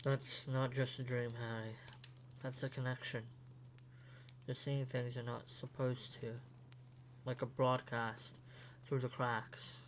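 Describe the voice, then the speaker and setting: A man playing an earnest, guarded, quietly supportive young man in a fan audio drama, speaking in a measured way. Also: medium pitch, flat delivery, natural voice, low-quality recording. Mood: reassuring. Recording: faint hum behind the voice.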